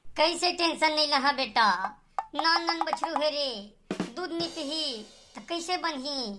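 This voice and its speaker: A woman's voice: high-pitched, unhurried, music in the background. A woman playing a fretting village mother in a cartoon, nagging in a rustic Chhattisgarhi accent. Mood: fretful, urging. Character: fretting village mother ordering her son about.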